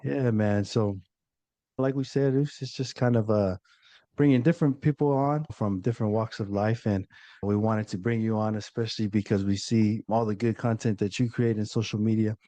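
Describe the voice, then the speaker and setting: Male podcast host, laid-back, low warm voice, dry close sound. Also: normal speed, no echo. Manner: relaxed, laid-back, lots of fillers. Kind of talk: casual interview chat.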